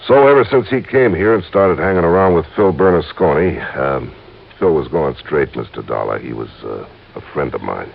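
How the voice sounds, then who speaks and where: An actor playing a gruff, plain-spoken small-town police chief with halting speech, in an old-time radio drama. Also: male voice, a bass voice, animated, heavily acted. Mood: reflective. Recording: very dull, noisy old radio recording.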